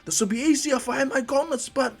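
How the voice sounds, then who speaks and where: A man voicing an explosive, cocky, hot-blooded teenage boy hero from an anime, shouting and boastful. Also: very high voice, fast, creaky, slightly rough, pitched above the performer's own voice, full character voice. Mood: fierce, straining.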